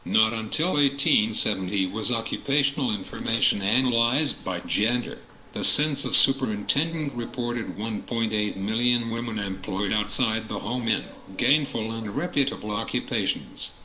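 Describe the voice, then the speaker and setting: Bright, forceful low-pitched man reading aloud. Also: normal speed, hardly any pauses. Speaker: test example reader. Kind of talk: reading academic passages aloud. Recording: roomy echo with noisy background.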